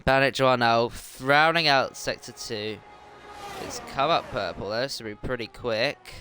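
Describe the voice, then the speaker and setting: A man calls an online racing league in unhurried play-by-play, his voice worn and tired from streaming the day before. The tone sits forward and bright despite the fatigue, and the delivery is slow and deliberate. Faint music plays behind him. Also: medium pitch. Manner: steady play-by-play with frequent ums. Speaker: race commentator. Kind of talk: sim racing commentary.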